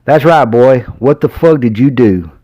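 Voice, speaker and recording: A man doing a gruff, taunting old sheriff. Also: mid-range voice, lively intonation, a little slow, mellow, no echo, highs cut off, pitched above the performer's own voice. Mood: accusing. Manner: taunting, accusing questions. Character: gruff older male small-town sheriff, menacing interrogator.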